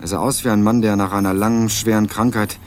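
A man's voice: fairly low pitch, little pitch movement, fast, a little hiss or hum. A young man narrating a radio crime drama in the first person with dry, wry irony. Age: young adult.

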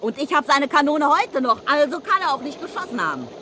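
A woman's voice: sits high, very animated, brisk, pushed and bright, a little hiss or hum, full character voice. An actress playing a brazen, street-wise woman blackmailer from the underworld in a German radio crime play, with cheeky, slangy, taunting delivery. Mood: heated, insistent.

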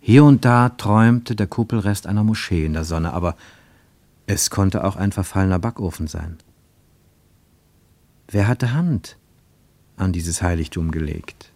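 A man reading a literary story as a scripted radio narration, with expressive, dramatic phrasing. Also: on the low side, husky, dry recording.

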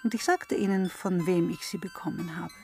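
An actress playing a sly young maidservant in a classic radio comedy, coaxing and teasing in a coy, theatrical manner. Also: female voice, lowish voice, big pitch swings, normal speed, a little mellow, faint music behind.

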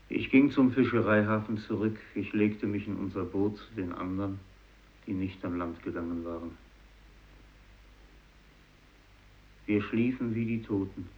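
A man's voice: lowish voice, lightly acted. An actor playing a sick, rejected fisherman in a German radio drama, speaking plainly and subdued in short sentences. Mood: bleak, resigned. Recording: dull radio drama recording.

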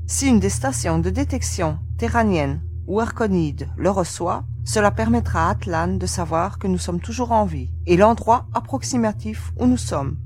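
A woman playing a cautious, cool-headed young secret agent in a science-fiction audio drama, explaining in a measured way. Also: medium pitch, animated, a little mellow, lightly acted. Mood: businesslike.

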